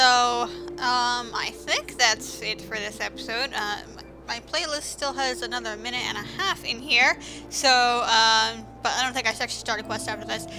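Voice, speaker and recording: A woman's voice: on the high side, rough recording. A woman giving casual video game commentary as host, with sarcastic asides, over music. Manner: sarcastic, mildly profane asides.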